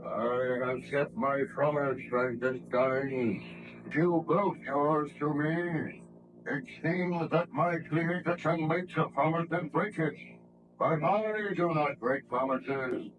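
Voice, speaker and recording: An actor playing a bitter, menacing monster who speaks slowly and deliberately, in old radio horror style. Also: male voice, on the high side. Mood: accusing. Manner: slow, deliberate, drawn-out menace. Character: menacing, slow-spoken male monster, bitter at his creator. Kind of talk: 1930s radio horror acting. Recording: noisy old radio drama recording.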